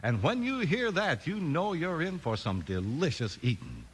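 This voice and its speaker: Lively American male radio announcer. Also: fairly high pitch, normal speed, a little hiss or hum. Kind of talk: 1950s radio announcing, scripted ad and narration.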